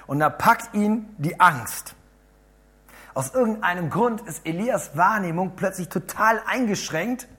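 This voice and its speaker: A man with a hoarse voice preaches to a gathered group, talking in a chatty way and turning to them with questions. His delivery jumps between loud, emphatic bursts and quiet, murmured asides. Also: on the high side. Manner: chatty, asks the audience questions. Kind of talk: lively sermon to a congregation.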